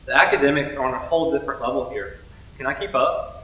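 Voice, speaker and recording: A man's voice: medium pitch, quick pace, a bit bright, recorded from a distance. Young male student performer, average register, scripted theatrical read, echoey off-mic sound. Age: young adult. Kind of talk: scripted readers theater delivery.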